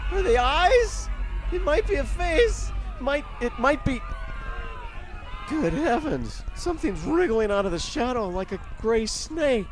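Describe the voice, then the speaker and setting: A frantic male news reporter in an old-time radio play. His voice rides high and swings widely in pitch. He stammers breathlessly and dramatically, describing alien horrors as an eyewitness. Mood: horrified awe. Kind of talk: 1930s radio drama acting, live eyewitness commentary.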